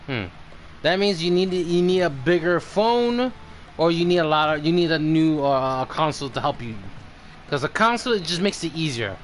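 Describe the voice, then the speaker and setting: Halting, higher-voiced man live streaming. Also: expressive. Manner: hesitant, halting. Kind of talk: casual chat while playing. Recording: faint background noise.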